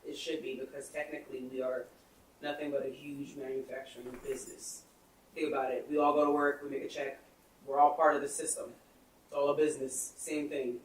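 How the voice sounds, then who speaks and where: A woman's voice: rumbling low, flat delivery, fairly quick, a little hiss or hum. A woman in her thirties co-hosting a podcast, explaining her views step by step in casual chat. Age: 30s. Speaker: podcast co-host. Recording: echoey room, far from the mic.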